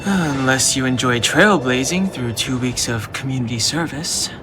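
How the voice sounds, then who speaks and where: A man playing a cool, reserved, watchful young adventurer in an anime game, speaking in a measured, dry way. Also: medium pitch, close to an everyday voice. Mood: dry teasing. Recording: music behind the voice.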